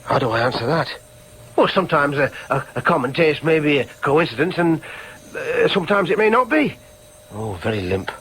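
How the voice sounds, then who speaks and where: An actor playing an anxious, self-questioning young man in a radio drama, husky, with a fretful, stubborn manner. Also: fairly high pitch, very animated, moderate pace, a bit bright, exaggerated voice, low-quality recording. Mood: muttered, doubtful, then contrary, insistent. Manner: fretful, talks to himself in questions. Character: anxious, self-questioning grown son, stubbornly defending heredity. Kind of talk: naturalistic radio drama with interior monologue.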